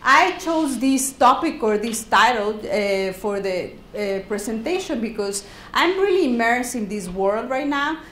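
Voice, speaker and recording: A woman giving an academic talk in a university classroom, halting and repeating her words. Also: fairly high pitch, animated, fairly bright tone. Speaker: guest speaker, professor. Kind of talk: academic lecture. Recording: echoey classroom, background hiss.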